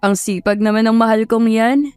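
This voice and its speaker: A woman's voice: fairly high pitch, steady tone, normal speed, darkish tone, smooth, no echo, soft background music, close to an everyday voice. A woman voicing a humble, heartfelt young man in love in a dramatized audio romance. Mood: fond, teasing. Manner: heartfelt, pleading. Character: earnest, humble poor young man, lovestruck and hurting.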